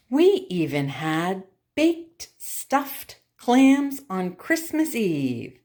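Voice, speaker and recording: Slow, low-voiced woman in an echoey room. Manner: simple, deliberate phrasing for young children. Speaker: children's storytime host and craft teacher. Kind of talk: picture-book read-aloud and craft demonstration for young children. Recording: roomy echo with faint background noise.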